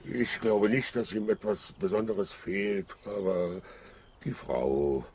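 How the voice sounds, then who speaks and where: Naive, hesitant lion: low, extremely slow delivery, some background noise, children's radio drama. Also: male voice, animated, a little mellow. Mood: hesitant, concerned. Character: slow, puzzled male lion, a worried father. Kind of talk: children's radio play acting.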